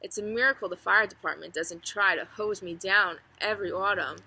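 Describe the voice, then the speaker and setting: A woman's voice: medium pitch, fairly quick, bright, forceful tone, slightly rough, exaggerated voice, rough recording. A woman voicing a friendly, proud oak tree with wry, chatty humour in a children's storybook read-aloud. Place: outdoors among trees. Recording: outdoor ambient noise, faint birdsong.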